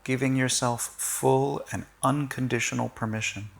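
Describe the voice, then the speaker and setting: A man leading a guided body-scan meditation in short, gentle, measured phrases with long pauses. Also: medium pitch, fairly even intonation, creaky, soft background music.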